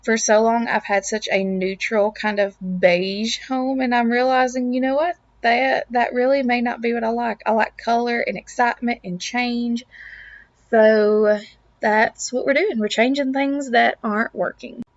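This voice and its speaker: A young woman with a raspy voice and a lingering cough, talking in an upbeat, earnest way as a casual vlog voiceover about home and family. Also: fairly high pitch, little pitch movement, measured pace, no room sound, highs cut off. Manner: upbeat, earnest, faith-filled. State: lingering cough, throat clearing. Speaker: lifestyle vlogger, mom of two.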